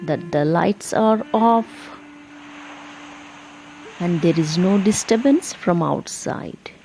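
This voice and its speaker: Very slow, mellow woman guiding meditation. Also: on the low side, gravelly, slight background noise, rough recording. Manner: soothing, gentle instruction with long pauses. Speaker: yoga meditation guide and channel host. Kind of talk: guided relaxation meditation. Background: soft instrumental music.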